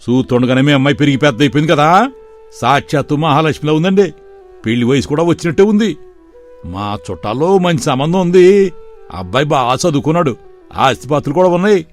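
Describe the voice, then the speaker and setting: A fawning middle-aged village elder, lifted well above a natural speaking pitch, as a man plays him. He talks in an eager, flattering way, pressing a marriage match in an animated storybook. Faint music sits behind him. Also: fast, long pauses, close to an everyday voice. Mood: ingratiating.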